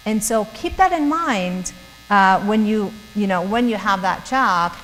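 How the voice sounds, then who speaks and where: A woman's voice: medium pitch, lively intonation, measured pace, fairly bright tone. A middle-aged woman with a German accent gives a chatty, earnest talk to a church group and often laughs between thoughts. Her voice carries a long reverb from a large hall. A faint electrical hum sits underneath. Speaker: guest speaker. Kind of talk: church talk to young professionals.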